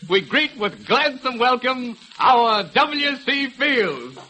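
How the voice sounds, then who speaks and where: A gleeful comic reciter, acted by a man, declaims verse on a noisy 1930s radio recording. The voice is extremely high and moves slowly through each line with mock grandeur. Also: big pitch swings, full character voice. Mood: gleeful, declaiming.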